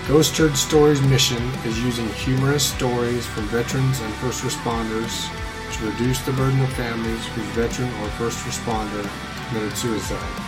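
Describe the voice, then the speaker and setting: An American man in middle age delivers a prepared podcast introduction as its host. Plucked guitar music plays clearly behind his voice. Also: medium pitch, low-quality recording.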